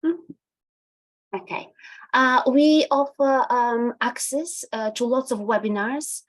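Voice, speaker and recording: A woman's voice: a little high, slow. A woman with a Bulgarian accent, a non-native English speaker, presents a webinar over a computer mic. She talks in a patient teacher's way, with many uh and um fillers between phrases. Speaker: EAL specialist presenting a webinar.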